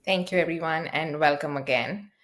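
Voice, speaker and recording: A woman's voice: low-pitched, moderate pace, a bit bright. A woman hosting a discussion with parents, asking friendly questions with some fillers. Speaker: host. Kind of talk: panel discussion host.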